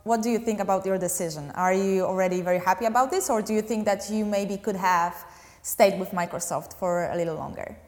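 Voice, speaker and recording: A woman hosts an interview and asks curious, probing follow-up questions. Her pitch is middling for a woman. Long reverb hangs around her voice. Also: a little fast, a little mellow.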